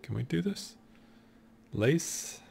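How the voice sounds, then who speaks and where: A man in his thirties with a deep voice, casually commenting while he plays a game show video game at his desk at home. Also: sing-song, slow, creaky, darkish tone, a little hiss or hum. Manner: laid-back, joking, thinking aloud. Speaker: streamer.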